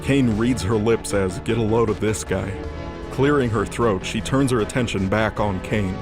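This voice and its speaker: A man's voice: lowish voice, moderate pace, music in the background. A man narrating a scripted audio drama in a dramatic storytelling style.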